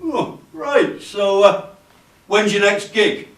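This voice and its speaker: Man putting on a loud, boastful workmate, echoey. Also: high-pitched, slow pace, voice pushed up. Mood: curious, hearty. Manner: brash, swaggering. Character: loud, boastful, blokey male workmate in tea-room banter. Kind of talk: theatrical comic monologue. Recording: echoey room, distant camera microphone.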